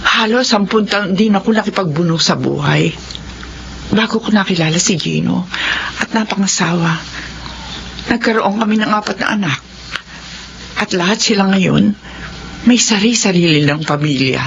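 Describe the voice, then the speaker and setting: A woman's voice: medium pitch, moderate pace, vocal fry, long pauses, a little hiss or hum, lightly acted. An actress with a Filipino accent playing a remorseful mother of sixty in an emotional audio drama. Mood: confessional, regretful. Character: remorseful sixty-year-old mother seeking forgiveness, earnest, pleading. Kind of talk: dramatized Filipino radio-style drama with first-person narration. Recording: dull lo-fi audio with music behind.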